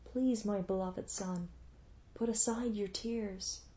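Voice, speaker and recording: A gentle sorrowful mother, as a woman reads her. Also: mid-range voice, fairly even intonation, takes it slowly, natural voice. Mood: tender. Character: tender, sorrowful royal mother.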